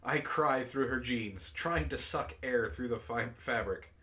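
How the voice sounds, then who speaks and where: A man's voice: medium pitch, a little slow, noisy background. A man hosting a book club, reading prose aloud dramatically and breaking off into exasperated asides.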